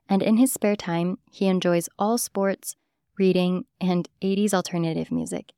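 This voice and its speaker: A woman's voice: lowish voice, dark tone, no echo, studio quality. A woman hosting a podcast, reading scripted narration. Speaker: podcast host.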